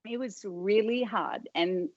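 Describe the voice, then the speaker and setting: A middle-aged woman talks through her story as a podcast guest. She rambles, breaks off mid-sentence and leans on fillers. Her voice sits at a middle pitch in a dry, slightly muffled recording. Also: takes it slowly, dry recording. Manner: rambling, self-interrupting, many fillers. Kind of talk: casual interview storytelling.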